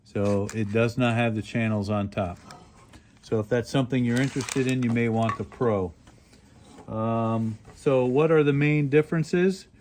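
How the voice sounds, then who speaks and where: A man's voice: medium pitch, steady tone, measured pace, a little mellow. A man giving a casual hands-on product review as a video host, explaining features plainly and step by step as he handles the gear. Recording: clearly noisy home-made recording.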